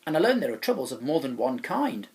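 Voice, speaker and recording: A man who is a teacher reads a children's story aloud in an expressive, dramatic way with pauses and emphasis. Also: mid-range voice.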